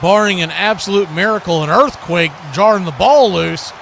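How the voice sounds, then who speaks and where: Higher-voiced man calling football, noisy recording. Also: moderate pace, vocal fry. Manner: excited on plays, chatty between them. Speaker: play-by-play host of a football watch party. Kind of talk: live play-by-play commentary with casual asides.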